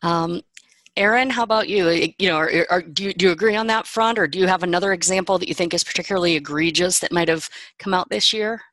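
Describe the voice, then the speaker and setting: A woman hosting a casual podcast discussion, chatty, with quick asides and frequent laughs. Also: on the low side, fairly bright tone, no room sound. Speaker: podcast host.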